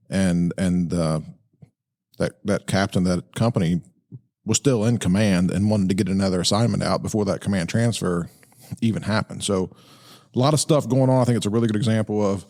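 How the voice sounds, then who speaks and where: A man giving conversational commentary as a podcast host, explaining things in a halting, stop-and-start way. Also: low-pitched, darkish tone. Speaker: podcast host breaking down fire radio traffic.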